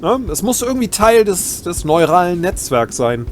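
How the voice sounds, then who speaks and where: A man's voice: high voice, big pitch swings, moderate pace, slight background noise. A man with a German accent doing a let's play, reading game text aloud and adding wry, ironic asides. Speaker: let's player.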